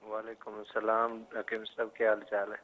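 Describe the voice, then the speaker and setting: A man's voice: on the low side, monotone, normal speed, fairly bright tone. Young man on a tinny phone line. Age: 20s. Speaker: caller.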